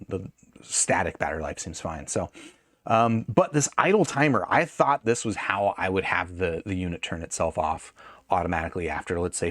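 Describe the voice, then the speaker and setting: Male reviewer, lower register, conversational and rambling, thinking aloud, close dry sound. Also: no echo. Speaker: gadget reviewer. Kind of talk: casual hands-on product review.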